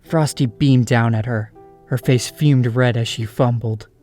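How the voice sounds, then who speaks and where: A man narrates a scripted story in a mid-pitched voice. He reads storybook prose as the narrator of an audio drama. Gentle music runs faintly behind him. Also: fairly even intonation, a little slow.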